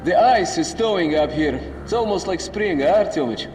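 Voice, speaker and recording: A gruff Russian-accented wasteland comrade for a video game, pitched high for a man. He is slangy, profane and excitable. The voice rings in a long, hall-like reverb. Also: moderate pace. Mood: wistful. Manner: slangy, swearing, urgent. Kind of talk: video game character acting. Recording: echoey hall, music or noise behind.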